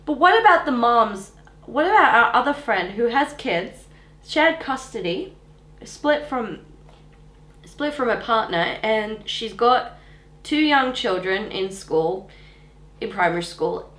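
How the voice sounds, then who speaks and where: A woman's voice: on the high side, animated, takes it slowly, fairly bright tone, roomy sound. A woman in her twenties with an Australian accent, chatting casually and bluntly, with colloquial opinions. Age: 20s.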